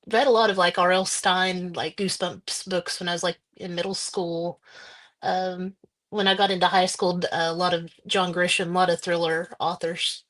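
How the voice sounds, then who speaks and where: A woman author being interviewed, giving thoughtful, halting answers with many pauses. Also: lowish voice, a bit bright. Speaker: guest author.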